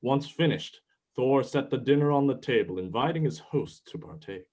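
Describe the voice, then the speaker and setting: A man's voice: medium pitch, lively intonation, moderate pace, a little mellow. A man reading scripted narration for a dramatic reading of a myth play.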